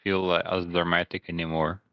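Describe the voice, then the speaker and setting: A low-voiced man chats casually on a podcast, hesitating and restarting as he works out what he wants to say. The sound is slightly dull and lo-fi. It is also dry and close, with no echo. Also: level tone, brisk. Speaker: podcast co-host. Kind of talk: casual chat.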